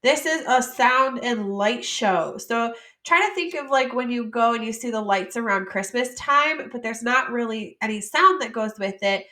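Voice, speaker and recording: A woman from the United States teaching French vocabulary, saying each word slowly and then explaining it in casual English. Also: a little high, bright, forceful tone, echoey room. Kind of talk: vocabulary lesson.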